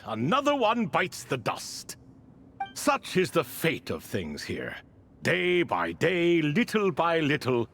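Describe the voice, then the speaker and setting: A man's voice: a little high, creaky, a bit bright, slight background noise, heavily acted. An actor playing an ancient ibis king spirit in a video game, courteous and wistful, with a theatrical, leisurely storytelling manner.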